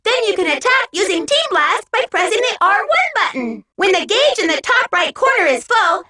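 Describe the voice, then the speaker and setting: A woman's voice: extremely high pitch, very animated, normal speed, sharp tone, full character voice. A woman voicing a small, cheerful, childlike robot helper who gives eager, encouraging tutorial instructions in a video game. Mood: cheerful, instructive. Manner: peppy, encouraging, clearly instructive.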